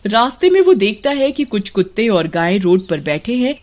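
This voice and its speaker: Animated woman narrating a story. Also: medium pitch, normal speed. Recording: music behind the narration.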